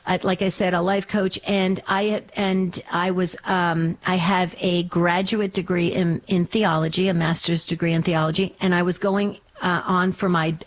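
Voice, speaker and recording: A mature American woman from New Jersey, in her fifties or sixties, chatting casually as a radio host in an effusive, emphatic way. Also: on the low side. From New Jersey, United States. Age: 50s or 60s. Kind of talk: casual chat answering a listener's letter.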